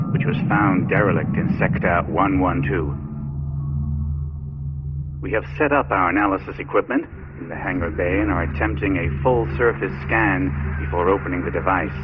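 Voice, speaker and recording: An actor playing a methodical research scientist aboard a starship in a sci-fi video game. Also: male voice, low voice, muffled recording, close to an everyday voice. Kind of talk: sci-fi video game acting, log narration.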